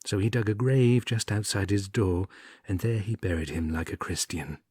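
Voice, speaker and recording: Male storyteller, lowish husky voice, wry and measured audiobook read, close dry sound. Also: darkish tone, no room sound. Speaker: audiobook reader. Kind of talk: storytelling narration of an old comic tale.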